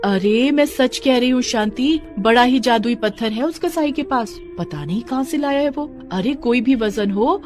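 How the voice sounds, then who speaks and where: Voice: medium pitch, normal speed, few pauses, pitched above the performer's own voice, close to an everyday voice. The narrator voicing a gossiping village woman in a cartoon, insistent and chatty. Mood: gossipy. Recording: background music under voice.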